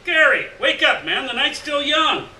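A man acting out a loud, boisterous drifter buddy. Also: extremely high pitch, very animated, unhurried, voice pushed up, heavily acted. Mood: excited shouting. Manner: shouting, slangy, devil-may-care. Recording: roomy, distant mic, noisy venue.

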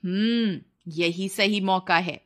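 A woman's voice: medium pitch, takes it slowly, lightly acted. A woman voicing a greedy, complaining village wife in a children's cartoon story, with an insistent, taunting manner. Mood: scheming. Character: nagging, greedy village wife, dissatisfied and scheming.

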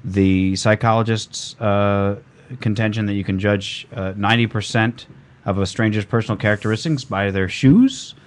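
A man hosting a podcast from a small studio, reading out news items slowly with many fillers. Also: lowish voice. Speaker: host. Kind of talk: casual podcast chat. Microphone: studio mic on boom arm.

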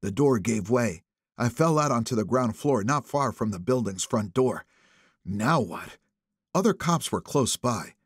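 A man narrating a thriller audiobook in the first person, with expressive, suspenseful phrasing. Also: mid-range voice, measured pace, husky, dry recording, studio quality.

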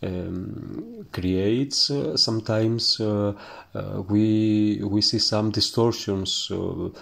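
Greek man, painting teacher, slow halting delivery, lowish voice, lots of ums. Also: vocal fry. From Greece. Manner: halting, searching for words, many fillers. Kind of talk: instructional voice-over while painting.

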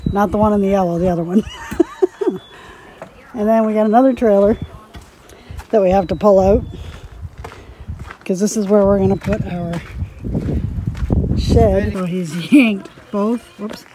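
Chatty giggly woman filming her yard on a phone, slow rambly talk, windy noisy audio. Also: medium pitch, fairly even intonation, mellow. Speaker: homestead vlogger. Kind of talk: casual handheld vlog chat. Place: outdoors in a windy snowy yard. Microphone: phone camera held at arm's length.

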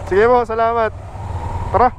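A man's voice: extremely high pitch, steady tone, normal speed. A man chatting casually and swearing with friends over a helmet intercom while riding a motorcycle. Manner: slangy, swearing banter among friends. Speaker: motorcycle vlogger leading a group ride. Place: outdoors, riding a motorcycle on the road. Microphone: helmet intercom microphone. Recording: loud engine, wind and road noise.

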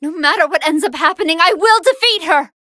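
A good-hearted android fighting girl from an anime video game. The voice is extremely high and bright, swinging widely in pitch. The delivery is polite, earnest and emphatic. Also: animated, a little fast, no echo, clean studio sound, exaggerated voice. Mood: determined.

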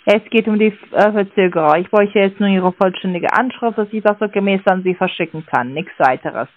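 A woman's voice: medium pitch, fairly quick. A woman calling as a sales agent over the phone, talking hesitantly with many filler sounds and non-native grammar. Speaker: sales caller. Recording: dry tinny phone line.

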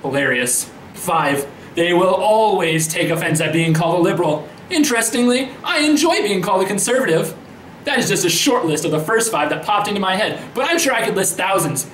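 An indignant, self-righteous politician acted out by a man declaims social media posts in a high male voice. The delivery is theatrical and emphatic, with folksy pomp, in an echoey room with faint noise behind it. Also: lively intonation, fairly quick, fairly bright tone. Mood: indignant, mocking. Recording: roomy echo, faint background noise.

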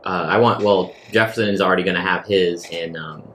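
A man's voice: low-pitched, fairly even intonation, a little fast, fairly bright tone. A man playing in a tabletop role-playing game, talking casually as he improvises what his character does. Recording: some background noise.

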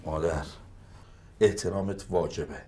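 An actor playing a harried father who shouts and grumbles at his family. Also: sits low, recorded from a distance, lightly acted. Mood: defensive. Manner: shouting, exasperated, then muttering under breath. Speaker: father of a runaway child. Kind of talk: TV family drama acting.

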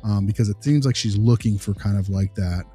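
A man's voice: fairly low pitch, fairly quick, warm tone, husky, soft background music. A man playing an easygoing behavior consultant, talking informally and reassuringly in a role-played meeting with a parent.

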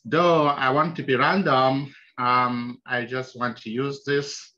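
A man with a Nigerian accent, a hospice chaplain giving a slide presentation over a video call, halting and full of ums. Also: medium pitch, fairly even intonation. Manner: halting, many ums, soft-spoken. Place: small indoor room. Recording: dull lo-fi video call audio.

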